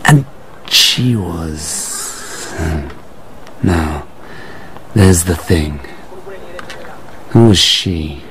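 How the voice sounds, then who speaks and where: A polite, quietly relentless gentleman in a radio play. His voice is deep and suave, with faint noise behind it. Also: drawn-out words, long pauses, heavily acted. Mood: smooth, insistent.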